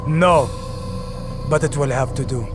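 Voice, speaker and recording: An actor playing a bitter, sarcastic keeper of an assassins' bureau in a video game, biting and contemptuous. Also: male voice, mid-range voice, a little slow, over music, natural voice. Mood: scornful. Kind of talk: dramatic video game acting.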